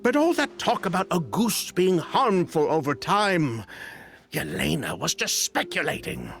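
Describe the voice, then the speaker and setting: A nervous male perfume merchant who stammers and talks his way around things, as a man voices him. His gravelly voice sits a bit high and swings wildly up and down. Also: big pitch swings, measured pace, a bit bright, faint music behind, heavily acted. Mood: justifying. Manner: stammering, defensive.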